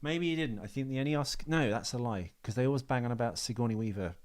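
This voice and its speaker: A man's voice: mid-range voice, fast talker, a little mellow. A man co-hosting a podcast, chatting casually and sarcastically about films, rambling and swearing. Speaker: podcast co-host. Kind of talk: casual film chat.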